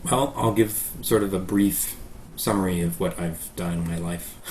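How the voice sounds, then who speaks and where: A man's voice: deep voice, unhurried, darkish tone, a little hiss or hum. A Canadian man in his thirties answering interview questions about his life, with frequent ums and uhs. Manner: hesitant, many ums and uhs. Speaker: guest pianist.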